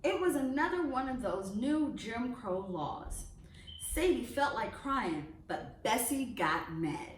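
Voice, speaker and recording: High, animated woman storybook reader in echoey room. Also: slow. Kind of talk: expressive read-aloud narration of a children's picture book. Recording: roomy echo, distant mic, faint noise.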